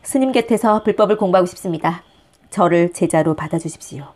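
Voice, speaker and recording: A woman's voice: on the low side, fast, a little mellow, pitched below the performer's own voice, close to an everyday voice. A woman voicing an earnest, dutiful male Buddhist monk in a storybook, with formal phrasing.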